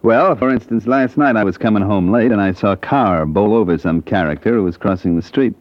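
Cocky jazz pianist and blackmailer, played by a male actor: low American voice, glib slang, muffled vintage radio sound. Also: brisk, dry recording, natural voice. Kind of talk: 1940s-50s American radio detective drama acting. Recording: dull old radio drama recording.

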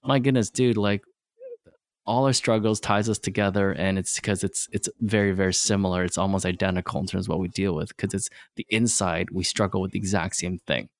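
A man's voice: sits low, steady tone, a little fast, no room sound. A middle-aged man who grew up speaking Vietnamese, talking as a podcast guest in plain, simple words, often restarting and ending points with "right?". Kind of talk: casual conversation.

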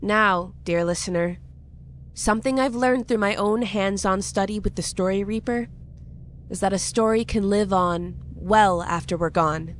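A woman playing a tender-hearted young apprentice who narrates a fantasy audio drama in a gentle, measured, earnest way. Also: mid-range voice, slight background noise, lightly acted. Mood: warmly earnest.

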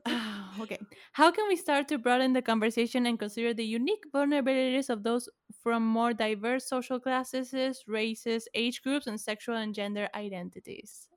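A woman's voice: fairly high pitch, moderate pace, few pauses, smooth. Giggly chatty woman podcast host. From Argentina. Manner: chatty, self-deprecating, giggly. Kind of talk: casual podcast chat.

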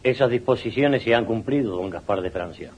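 A man's voice: on the low side, brisk, a little mellow, breathy edge. A man playing a deferential army captain in a radio drama, formal and respectful. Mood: dutiful, formal. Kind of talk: 1980s radio drama acting. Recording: muffled, faintly noisy old radio recording.

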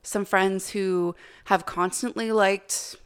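Young woman podcaster, smooth soothing voice, mid pitch, chatty and warm. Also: steady tone, takes it slowly. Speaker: podcast co-host. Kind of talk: casual podcast chat.

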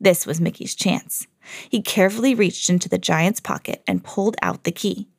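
A woman storyteller hosting a podcast, reading a children's fairy tale expressively with clear enunciation. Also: lowish voice, normal speed, no room sound, clean studio sound.

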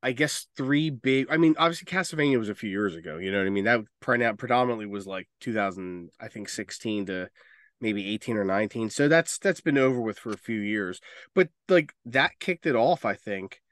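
A man's voice: on the low side, lively intonation, fairly quick, a bit bright, dry recording, clean studio sound. Casual, emphatic man on a podcast. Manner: emphatic, swearing freely. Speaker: podcast co-host. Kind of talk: casual opinionated discussion.

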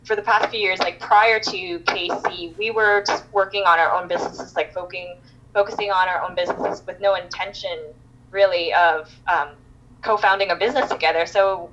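A woman's voice: fairly low pitch, steady tone, moderate pace, a bit bright, muffled recording. A woman from Canada, a guest on a podcast, talking casually with lots of fillers and restarts. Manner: chatty, many fillers and restarts. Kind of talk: casual interview chat.

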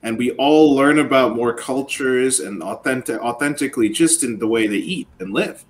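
A man's voice: mid-range voice, normal speed. Man, thoughtful, stammers into his points, faint noise behind. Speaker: podcast host. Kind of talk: casual chat.

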